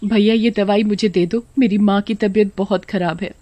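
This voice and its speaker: A poor young mother who works as a housemaid speaks in a storybook drama, acted by a woman. She is humble and anxious, pleading softly for help. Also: medium pitch, steady tone, normal speed, no room sound, natural voice. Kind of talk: Hindi moral-story character acting.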